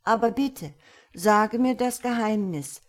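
A woman's voice: mid-range voice, animated, measured pace, a little mellow. A woman voicing an innocent, curious little boy in a storybook, with a pleading, childlike way of asking. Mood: pleading, earnest. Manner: pleading, wide-eyed, childlike questioning. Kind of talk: storybook character voice.

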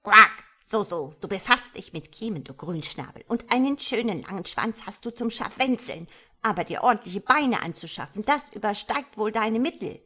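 A woman's voice: on the low side, expressive, moderate pace, sharp tone, exaggerated voice. A woman voicing an old mother frog in a storybook, tearful, scolding and old-fashioned, with quacking interjections. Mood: indignant. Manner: scolding, lamenting, punctuated with croaky quacks.

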